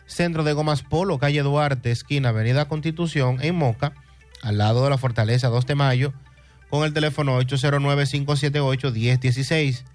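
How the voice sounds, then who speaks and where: A man reading radio advertisements in a measured, clear promotional delivery. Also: medium pitch, steady tone, soft background music. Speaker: ad announcer.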